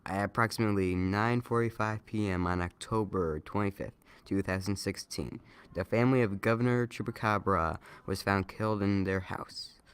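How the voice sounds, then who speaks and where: A man reading a scripted amateur news report, somewhat haltingly. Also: on the low side, smooth. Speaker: news reader.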